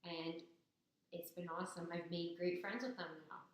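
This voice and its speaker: A young woman from Ontario, hoarse and raspy after a cold, giving a chatty, upbeat persuasive talk to the camera. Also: fairly low pitch, fairly even intonation, normal speed. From Ontario, Canada. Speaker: business student. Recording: very echoey, roomy sound.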